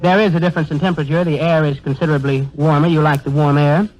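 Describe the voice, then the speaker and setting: Man playing effusive straight man, higher-pitched, old radio. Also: fairly even intonation, fast, darkish tone, natural voice. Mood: chatty, coaxing. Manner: flowery, effusive vocabulary, stammering repeats. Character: eager, wordy straight man of a comedy duo. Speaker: comedian in a double act. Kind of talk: 1930s radio comedy patter. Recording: dull 1930s radio broadcast recording.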